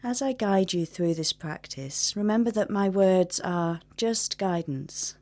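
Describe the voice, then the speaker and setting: A woman leading a guided meditation in a gentle, measured manner, leaving long pauses between instructions. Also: mid-range voice.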